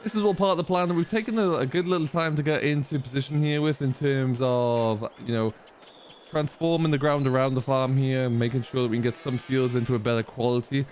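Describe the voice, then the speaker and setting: Man, mid-pitched voice, casual gameplay commentary, explanatory, thinking aloud, faint background ambience. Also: a little fast, darkish tone, a little hiss or hum. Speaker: gaming video host, playing a tenant farmer.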